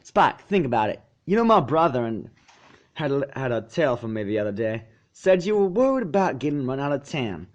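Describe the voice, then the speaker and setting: A man voicing a young female pony who is calm, level-headed and matter-of-fact. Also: medium pitch, fairly quick, lo-fi sound, close to an everyday voice. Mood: firm, encouraging.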